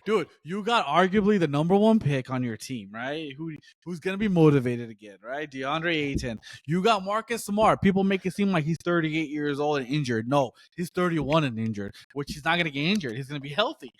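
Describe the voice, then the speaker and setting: Hype sports pod cohost, kinda high voice, says bro a lot, dry mic. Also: male voice, expressive, brisk, few pauses, dry recording. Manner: emphatic, keeps saying "bro", "dude", "man". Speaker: podcast co-host. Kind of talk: casual sports chat.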